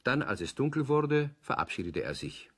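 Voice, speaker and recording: A man with a husky voice narrating a children's audio play as a scripted storyteller. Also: medium pitch, sing-song, moderate pace.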